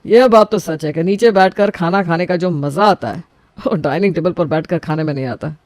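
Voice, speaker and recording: A man voicing a genial elderly father-in-law in an animated story, soothing and fatherly. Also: a little high, brisk, no echo, close to an everyday voice. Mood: reassuring.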